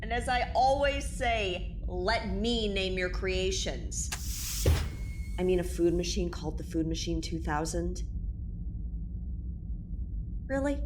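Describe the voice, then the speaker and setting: A woman's voice: fairly low pitch, animated, slow pace, long pauses, background noise. A woman playing a playful, teasing space explorer and ghost show host in an audio drama.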